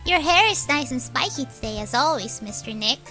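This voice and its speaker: A woman's voice: high voice, lively intonation, moderate pace, pushed and bright, soft background music. A woman in a game fan dub voicing an eager, sweetly polite young girl helper. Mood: polite, chatty.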